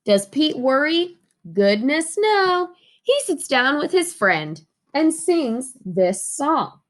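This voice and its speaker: Woman, children's storybook reader, expressive, slightly high pitch, very unhurried delivery. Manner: expressive, addressing young listeners with questions. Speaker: teacher reading children's storybook aloud. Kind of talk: children's storybook read-aloud with sing-along.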